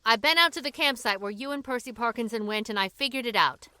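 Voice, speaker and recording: A woman's voice: on the high side, fast talker, bright, forceful tone, smooth, dry recording. A woman acting in a radio drama as a feisty, plain-spoken woman who pleads earnestly and urgently. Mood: earnest persuasion.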